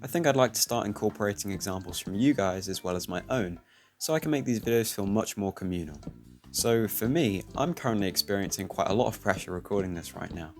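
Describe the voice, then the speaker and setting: A teenage man speaking calmly and reflectively, commenting over his gameplay. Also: fairly low pitch, a little fast. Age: teens. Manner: soft-spoken, reflective. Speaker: YouTuber. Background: faint music.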